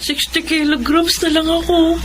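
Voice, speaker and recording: A woman's voice: very high voice, little pitch movement, normal speed, slight background noise. A woman playing an emotional, clingy young female student in a Filipino audio drama, with a Filipino accent. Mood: grateful.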